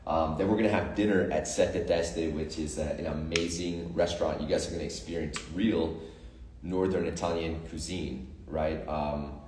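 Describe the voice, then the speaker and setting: A man's voice: a bass voice, fairly even intonation, normal speed, darkish tone, reverberant, far from the mic, a little hiss or hum. A man, a coach and retreat organizer, talking through the plan casually and with enthusiasm, with lots of fillers and motivational lines. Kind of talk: casual promotional chat.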